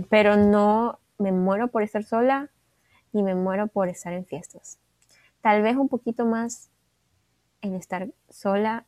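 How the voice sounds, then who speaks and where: A woman's voice: mid-range voice, no room sound. A woman, chatting casually and talkatively as a podcast co-host, slipping in the odd English phrase. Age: 20s. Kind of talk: casual chat.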